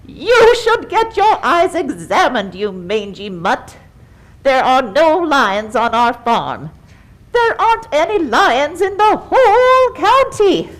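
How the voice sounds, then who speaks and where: A woman's voice: high voice, very animated, slow pace, heavily acted, rough recording. A woman voicing a prim, haughty, easily exasperated pig in a children's puppet show, with fussy, grandiose wording. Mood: indignant.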